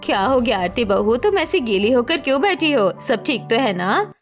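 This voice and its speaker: A woman's voice: fairly high pitch, sing-song, normal speed, faint music behind. Woman acting weary older village mother-in-law. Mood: concerned. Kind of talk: cartoon moral story acting.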